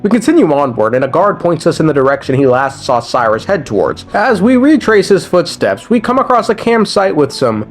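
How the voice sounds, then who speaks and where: A man's voice: fairly high pitch, sing-song, a little fast, hardly any pauses, soft background music. A man narrating a video game story recap in a casual, scripted way, with slangy, irreverent asides.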